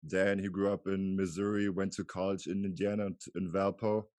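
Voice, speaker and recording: A man's voice: deep voice, little pitch movement, moderate pace, a little mellow, no room sound, clean studio sound. A man in his thirties from Germany, a native German speaker, hosting a podcast and interviewing casually.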